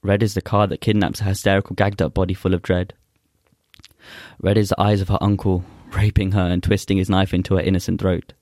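Deep, flat-voiced young man. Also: no room sound, clean studio sound. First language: Urdu. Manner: earnest, halting with many fillers. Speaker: poet guest. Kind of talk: casual chat and spoken-word poetry recital.

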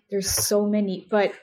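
A woman's voice: medium pitch, mellow. A woman in her late thirties from Mexico City with a Mexican Spanish accent, a native Spanish speaker, chatting fondly and at length as an interview guest and dropping in Spanish words. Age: late 30s. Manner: chatty, fond storytelling, drops in Spanish words. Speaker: guest, snack company founder. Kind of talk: casual interview chat.

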